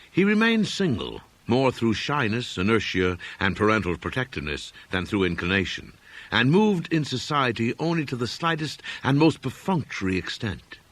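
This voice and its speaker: A man reading a literary horror story as an audiobook narrator, in a formal, measured storytelling manner. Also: on the low side, a bit bright, dry recording. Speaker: audiobook reader.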